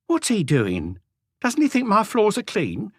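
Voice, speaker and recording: A man's voice: high-pitched, wildly swooping pitch, measured pace, breathy edge, dry recording, clean studio sound, pitched above the performer's own voice, exaggerated voice. A man voicing a suspicious, ill-tempered, defiant housewife who fires indignant questions in an audiobook. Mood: aggrieved. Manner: snappish, indignant, challenging questions. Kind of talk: audiobook character voice.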